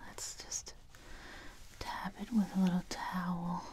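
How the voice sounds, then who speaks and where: A woman in her thirties doing ASMR in a slow, soothing whisper, close to the mic. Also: on the low side, little pitch movement, darkish tone. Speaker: ASMR video creator. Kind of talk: ASMR whispering, gentle makeup roleplay.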